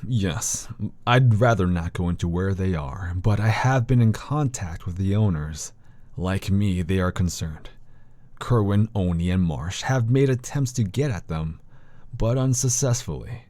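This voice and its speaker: A man playing a grave, guarded university scholar in an audio drama, speaking in a measured, halting way. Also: low voice, a little mellow, no room sound. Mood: guarded, concerned. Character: grave, learned male university doctor guarding occult secrets, guarded.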